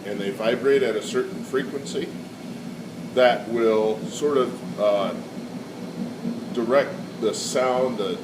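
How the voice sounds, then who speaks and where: A man with a cold explaining a science demonstration in a casual, halting way with frequent fillers. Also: mid-range voice, background noise. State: has a cold. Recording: echoey room, far from mic, loud air conditioning.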